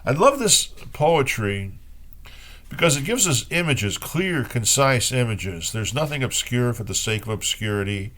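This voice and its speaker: A man reads poetry aloud at a very slow pace. His voice sits at a medium pitch. He leaves space between short phrases, presenting each line as a reader and host. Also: big pitch swings, a bit bright. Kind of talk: poetry reading with brief spoken commentary.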